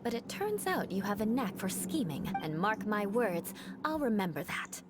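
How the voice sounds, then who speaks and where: A woman voicing a proud, vengeful young woman knight in a game, cold and haughty, with sharp battle cries. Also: medium pitch, big pitch swings, normal speed, lightly acted. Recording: game audio with music underneath.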